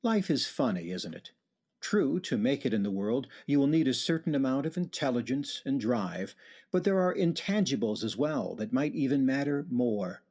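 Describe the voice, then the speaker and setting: A man's voice: mid-range voice, sing-song, normal speed, a little mellow. A man hosting a radio drama, delivering scripted narration with a wry, ominous sign-off. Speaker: host and writer-director. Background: music.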